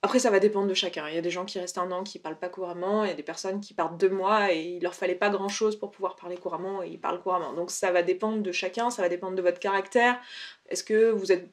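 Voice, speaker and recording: A woman's voice: lowish voice, steady tone, fast talker. A woman from France, speaking as a video blogger who gives casual, unscripted tips in a conversational way, rephrasing as she explains. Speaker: video blogger giving language-learning tips. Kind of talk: casual, unscripted advice to camera.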